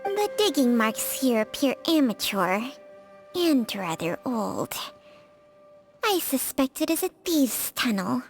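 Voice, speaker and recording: A composed, matter-of-fact video game companion. The voice is slightly high and inflected, with slow, formal delivery over music. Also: female voice, very animated, full character voice. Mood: observant.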